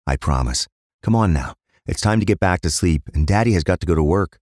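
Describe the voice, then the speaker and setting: Man playing a deep-voiced middle-aged police inspector. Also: expressive, brisk, a little mellow, no echo, clean studio sound. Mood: gentle, fatherly. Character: weary forty-two-year-old police inspector, gentle father, blunt cop.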